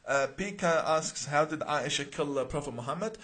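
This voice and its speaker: A man's voice: fairly high pitch, normal speed, fairly bright tone. Emphatic man reading out live comments. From Iraq. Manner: reads viewer names then answers, with frequent uh and repeated words. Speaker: imam answering viewer questions.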